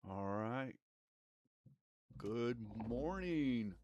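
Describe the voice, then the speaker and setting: A man's voice: medium pitch, drawn-out words, muffled recording, a little hiss or hum. A middle-aged man with a congested voice from a cold, a family therapist hosting a casual live stream, meandering with plenty of fillers. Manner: relaxed and meandering, many fillers and restarts.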